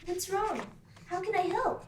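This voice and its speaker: A woman's voice: very high-pitched, expressive, measured pace, roomy sound, noisy background. A woman voicing a hesitant, anxious young witch at a table read, stammering over her words. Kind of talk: table read of an animated pilot.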